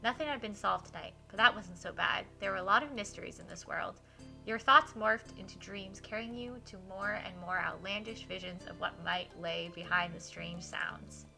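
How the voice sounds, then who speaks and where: A woman's voice: medium pitch, normal speed, creaky, pushed and bright, rough recording. A woman streamer reading a visual novel aloud expressively, with casual asides to her viewers and game music behind her.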